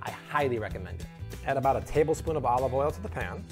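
A man's voice: mid-range voice, animated, fast talker, faint music behind. Upbeat Chicago man hosting a cooking show. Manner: upbeat, playful, salesy. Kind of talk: energetic cooking demo. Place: home kitchen, indoors.

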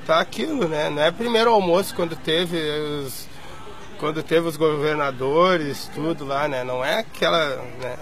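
Gravelly earnest male activist. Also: fairly high pitch, moderate pace, fairly bright tone. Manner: earnest, complaining, uses many tag questions. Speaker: activist interviewee. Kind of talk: interview.

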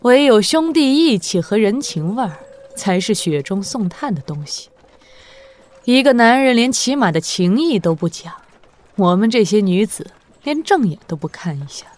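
An actress playing a shrewd, worldly, imperious woman sovereign in a martial-arts audio drama, with deliberate, sardonic delivery. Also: mid-range voice, very animated, darkish tone, lightly acted. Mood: wistful, reproving. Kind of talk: Chinese wuxia audio drama acting.

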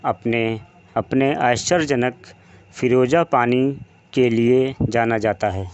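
A man narrating a countdown video as a voice-over, in slow, measured scripted narration. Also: mid-range voice, clean tone, highs cut off. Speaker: channel narrator.

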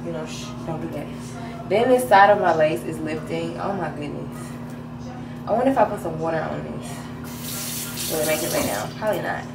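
Woman, 20s, chatty lifestyle vlogger, fresh from a nap, recorded off a distant camera in a roomy-sounding bathroom with loud room hiss. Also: low voice, moderate pace, gravelly, long pauses. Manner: chatty, laid-back, slangy, talking while styling her hair. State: a little deeper than usual after a nap. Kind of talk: casual vlog chat. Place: hotel bathroom, indoors, small. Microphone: camera on the counter at arm's length. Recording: echoey bathroom, mic at a distance.

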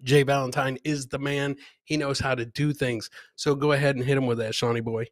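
A man's voice: mid-range voice, level tone, a little fast. A middle-aged man hosting a live clip show, chatting casually and addressing viewers directly like a hype announcer. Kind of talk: casual livestream chat and commentary. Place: indoor home streaming setup. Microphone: close boom microphone with headphones.

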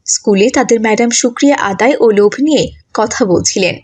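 High-voiced woman narrating a story. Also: a little fast, a little mellow, husky. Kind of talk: scripted children's story narration.

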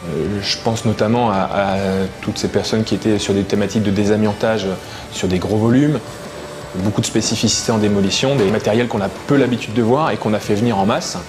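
A low-voiced man speaks in a composed, explanatory way as a contractor's manager. Clear background music runs under his voice. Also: a little fast. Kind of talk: documentary interview.

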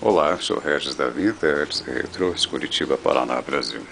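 Low-voiced man. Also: normal speed, gravelly, noisy background. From Brazil. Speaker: vintage audio seller presenting products. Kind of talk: product demonstration. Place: indoor room. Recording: music playing over the voice. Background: loud guitar music.

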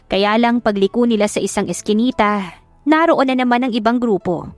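A woman's voice: on the high side, normal speed, no echo, faint music behind. A Filipino woman reading a love story as a dramatic audiobook narrator.

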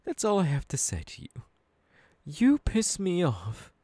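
A man playing a menacing, unhinged young supervillain boss in an ASMR audio roleplay, threatening his underlings slowly and deliberately. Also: sits high, wildly swooping pitch, mellow, dry recording, heavily acted. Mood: threatening anger.

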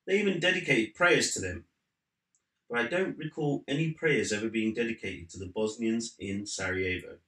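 A man in his forties, an author reading from his own book as measured literary narration in a living room. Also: fairly low pitch, a bit bright. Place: living room at home, seated in an armchair. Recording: slightly roomy indoor sound.

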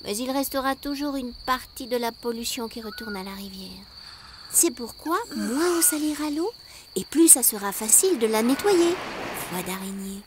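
A woman's voice: fairly high pitch, animated, moderate pace, background noise, lightly acted. A woman voicing a tiny, friendly spider who enthusiastically guides children and explains nature in an educational cartoon. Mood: explaining. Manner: enthusiastic, instructive, playful. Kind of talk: animated educational cartoon acting.

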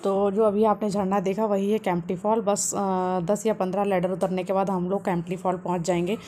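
A woman, calmly narrating a family travel vlog as a voice-over. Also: medium pitch, a little fast, music in the background. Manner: calm, explanatory, steady. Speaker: travel vlogger.

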